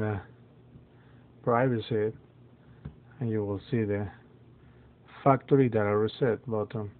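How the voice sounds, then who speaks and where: A man whose first language is Spanish walks through a phone reset step by step, halting often with fillers and restarts. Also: medium pitch. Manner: halting, many fillers and restarts, non-native phrasing. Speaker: phone tutorial presenter. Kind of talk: casual how-to walkthrough. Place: dim indoor room, phone filmed in hand. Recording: tinny phone-like audio.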